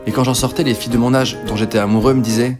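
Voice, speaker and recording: A young man from northern France narrating his own life with quick, self-mocking jokes. He talks fast over clear background music. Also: mid-range voice, little pitch movement, darkish tone. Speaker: video creator. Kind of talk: comic autobiographical voice-over.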